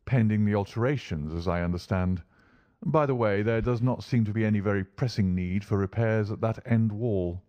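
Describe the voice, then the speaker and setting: A man voicing a shrewd, commanding English gentleman detective, brisk, courteous and authoritative, in a storybook reading. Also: fairly low pitch, dry recording, dull audio, lightly acted. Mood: observant.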